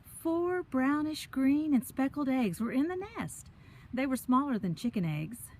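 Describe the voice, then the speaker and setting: A woman reads a picture book for young children in a slightly high voice with lots of melodic swing. She breaks off for simple, chatty asides to her listeners. She is recorded outdoors, with faint ambient noise around her. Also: animated, measured pace, a little mellow. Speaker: children's story reader. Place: outdoors on a park trail.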